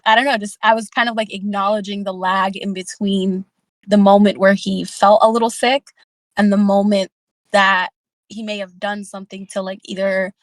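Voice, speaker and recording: A woman's voice: mid-range voice, level tone, moderate pace, dry recording. A woman in a casual, reflective group discussion, speaking tentatively as she thinks aloud. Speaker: book club discussion participant and facilitator.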